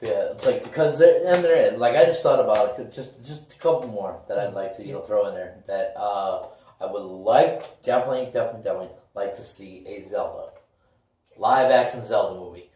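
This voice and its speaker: A man's voice: on the low side, fairly quick, dark tone. A man co-hosting a podcast in a casual chat, speaking in an agreeable, informal way. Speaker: podcast co-host. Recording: roomy echo, distant from microphone.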